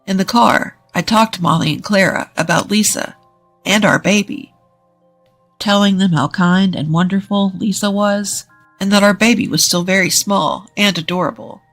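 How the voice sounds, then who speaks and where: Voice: medium pitch, moderate pace, fairly bright tone. A narrator reading a scripted first-person story, as a native English speaker. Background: music.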